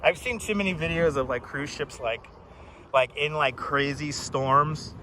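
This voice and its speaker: Man, live-stream host, loud voice, chatty, outdoors, city traffic and ambience clearly behind. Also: a little high, a little slow. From Puerto Rico. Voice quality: naturally loud voice. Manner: chatty, greets viewers by name. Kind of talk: casual walking live stream. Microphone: wireless clip-on mic.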